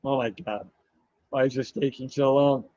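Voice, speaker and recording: A man hosting a live stream, chatting casually and greeting viewers in a rambling, halting way while his voice is going hoarse. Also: medium pitch, fairly even intonation, dark tone, dry recording, dull audio. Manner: rambling, halting, with many fillers and restarts. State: losing his voice. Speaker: livestream host.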